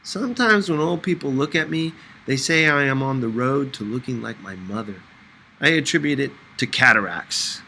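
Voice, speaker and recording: A man's voice: mid-range voice, animated, normal speed, creaky, bright, forceful tone, a little hiss or hum, low-quality recording. A man narrating a first-person novel as an audiobook reader, in a scripted storytelling style.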